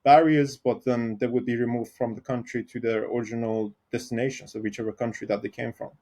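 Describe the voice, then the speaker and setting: Iranian-born guy on a podcast, lots of ums, regular pitch, kinda hesitant. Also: flat delivery, fairly quick, dark tone. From Iran. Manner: hesitant, many ums and restarts. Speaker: tech founder guest. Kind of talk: podcast interview.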